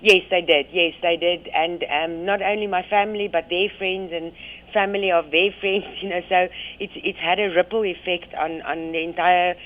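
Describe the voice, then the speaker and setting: A woman's voice: on the low side, steady tone, moderate pace. A South African woman, a radio guest talking by phone, hesitant with many ums and restarts. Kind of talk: phone interview. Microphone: phone line. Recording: tinny phone line.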